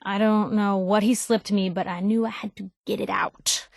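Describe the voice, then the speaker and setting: An actress playing a plucky, principled young woman reporter in a video game, speaking in a candid, wry, expressive, slangy way. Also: mid-range voice, normal speed, creaky, no echo, clean studio sound. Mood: animated storytelling. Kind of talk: video game companion dialogue, conversational storytelling.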